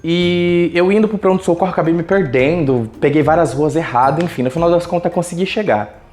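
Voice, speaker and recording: Fast-talking Brazilian man telling a story. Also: fairly high pitch, soft background music. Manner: colloquial, animated retelling with acted quotes. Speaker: YouTuber. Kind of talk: casual personal storytelling.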